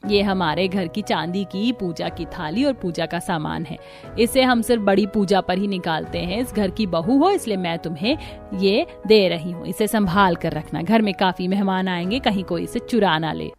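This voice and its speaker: A woman's voice: medium pitch, moderate pace, a little mellow, natural voice. Lady doing a strict middle-aged saas in a cartoon drama, scolding type, music under it. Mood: solemn instruction. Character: stern middle-aged Indian mother-in-law, quick to scold. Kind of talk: Hindi cartoon family melodrama.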